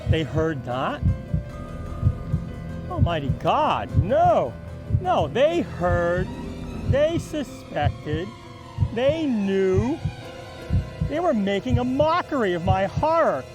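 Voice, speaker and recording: A nervous murderer, acted by a man, tells his own crime in a high-pitched voice. The delivery is slow, theatrical and emphatic, tormented by guilt, with music clearly behind it. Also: sing-song, full character voice. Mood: frantic horror. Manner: melodramatic, deliberate, emphatic delivery with repeated words. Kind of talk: theatrical dramatic reading of a gothic horror story.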